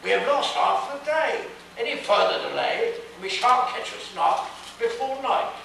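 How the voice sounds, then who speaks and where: A man voicing a fretful, alarmed bellman from a storybook nonsense poem, shrill, urgent and exclaiming. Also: lively intonation, measured pace, gravelly, heavily acted. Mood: frightened urgency. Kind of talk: storybook character voice in dramatic reading. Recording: very echoey room, distant mic, faint noise.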